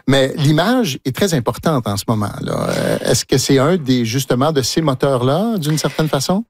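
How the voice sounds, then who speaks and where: A man's voice: medium pitch, animated, normal speed, a little hiss or hum. A man with a Quebec French accent hosting a radio talk show, asking long, probing questions.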